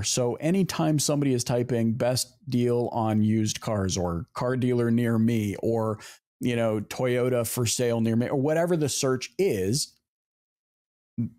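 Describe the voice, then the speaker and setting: A man hosting a podcast, talking casually to his audience about marketing in an emphatic way with asides and pauses for effect. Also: mid-range voice, dark tone. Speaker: podcast host. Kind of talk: casual marketing talk to viewers.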